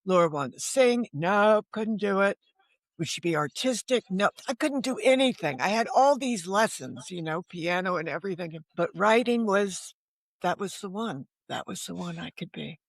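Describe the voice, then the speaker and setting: An older woman from the United States speaks at a middle pitch, telling personal stories as if across a table. She is candid and self-deprecating, often questioning herself in asides. The recording is dry, with little room sound. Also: expressive, normal speed, dry recording. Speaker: novelist, interview guest. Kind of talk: conversational personal storytelling. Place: remote video call.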